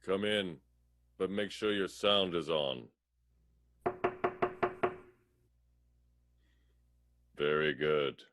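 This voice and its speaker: A man's voice: deep voice, a little slow, noisy background. An actor playing a scheming, villainous man in an improvised radio play, bossy and exasperated.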